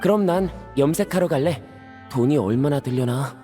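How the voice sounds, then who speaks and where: A man voices a sociable young game character with a high voice. He is casual and talkative, in a reverberant mix with music underneath. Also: lively intonation, normal speed, warm tone, close to an everyday voice. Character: friendly, talkative young household member. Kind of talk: Korean video game voice acting.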